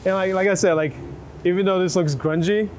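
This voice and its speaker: A man with a somewhat high voice speaks as a photographer directing a subject. His delivery is informal and encouraging, built on short repeated instructions. Faint street ambience and vehicles sit behind him. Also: fairly even intonation, brisk, darkish tone. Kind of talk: casual chat while directing portrait subjects. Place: outdoors in a city. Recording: city street ambience and traffic noise.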